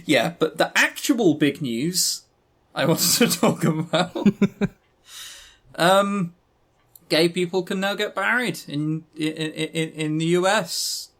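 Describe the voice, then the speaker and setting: Teasing, higher-voiced man on a podcast. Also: slow, a bit bright. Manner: joking, teasing, mock-serious. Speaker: podcast co-host. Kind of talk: casual podcast chat.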